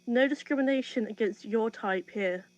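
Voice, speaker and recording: A woman's voice: a little high, normal speed, a little mellow, lo-fi sound, natural voice. Cold, scornful woman acting a police chief. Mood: cold contempt. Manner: commanding, scornful. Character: cold, contemptuous female police chief interrogating a prisoner. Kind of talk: dystopian audio drama acting.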